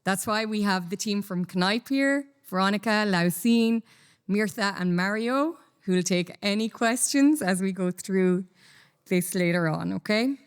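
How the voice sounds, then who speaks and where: A woman from Ireland with an Irish accent giving a lively, conversational conference talk. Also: medium pitch, measured pace, smooth. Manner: lively, self-deprecating, conversational. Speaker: conference speaker. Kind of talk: conference presentation. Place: conference room.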